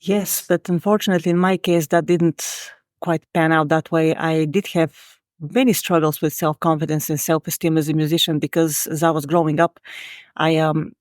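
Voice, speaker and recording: A woman's voice: sits low, steady tone, moderate pace, darkish tone, dry recording, studio quality. A woman in her forties with a Bulgarian accent, answering interview questions in a frank, earnest, self-critical way. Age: 40s. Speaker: podcast guest.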